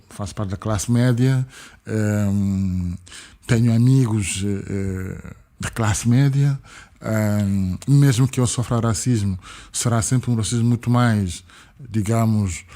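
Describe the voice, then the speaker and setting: A man from Senegal speaks with a French-tinged accent and a mellow, warm tone. He talks earnestly as an interview guest, repeating words to drive a point home. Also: medium pitch. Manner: emphatic, repeats words for stress. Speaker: guest, activist. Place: podcast studio.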